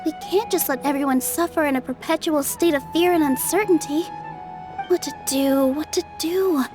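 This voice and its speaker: An actress playing a gentle, self-doubting young woman in a game dub, sincere and hesitant. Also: sits high, moderate pace, music in the background, lightly acted. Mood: thoughtful, worried. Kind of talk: English game dub acting.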